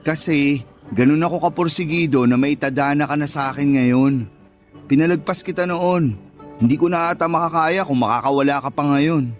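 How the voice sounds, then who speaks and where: An actor playing a persistent, romantic suitor in a Tagalog radio drama, smooth-talking and earnest. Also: male voice, a little high, moderate pace, faint music behind, close to an everyday voice.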